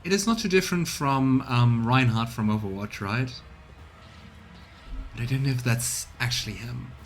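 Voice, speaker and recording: A man streaming a video game, reading dialogue and narration aloud in a measured way with casual asides. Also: mid-range voice. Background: game music.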